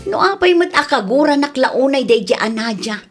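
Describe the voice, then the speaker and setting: A woman's voice: high voice, animated, moderate pace, loud music behind the voice. An actress with an Ilocano Filipino accent playing an indignant, feisty young neighbor woman in a comedy radio drama.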